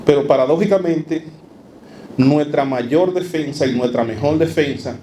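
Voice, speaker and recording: A man giving a university lecture, speaking in a measured way with frequent fillers and rhetorical asides. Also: a little high, mellow, slight background noise. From Dominican Republic. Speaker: academic speaker.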